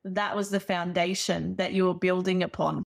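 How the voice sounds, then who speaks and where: A woman's voice: on the low side, fairly even intonation, moderate pace, creaky. A woman hosting an interview podcast and coaching people, speaking in an encouraging, reflective way. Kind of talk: casual interview chat.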